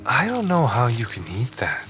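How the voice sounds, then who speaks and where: A man playing a quiet, earnest, long-lived nonhuman character in an audio drama, reserved and understated. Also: mid-range voice, huge pitch swings, normal speed, music in the background. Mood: quiet fond. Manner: reserved, understated, brief replies. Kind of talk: naturalistic audio drama acting.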